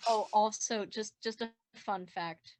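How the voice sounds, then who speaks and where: A woman's voice: mid-range voice, steady tone, slow pace, darkish tone, a little hiss or hum, rough recording. A woman chatting casually in a group commentary, explaining things in a rambling, self-correcting way. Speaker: podcast creator and voice actor.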